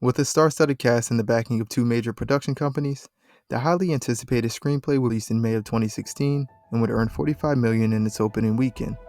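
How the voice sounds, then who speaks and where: A man's voice: mid-range voice, darkish tone. A man reading scripted video essay narration in the manner of a documentary. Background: background music.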